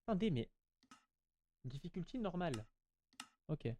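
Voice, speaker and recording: A man's voice: on the high side, very animated, unhurried, dark tone, a little hiss or hum. A man giving casual, slangy gameplay commentary, chatting to his viewers and often repeating himself. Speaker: gaming YouTuber.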